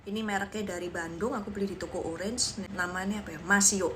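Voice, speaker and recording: Woman, mid pitch, casual mukbang review, humming between bites, light background noise. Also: fairly even intonation, fast talker. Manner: murmurs and hums between bites. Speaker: food reviewer in short social videos. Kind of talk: casual mukbang review chat while eating.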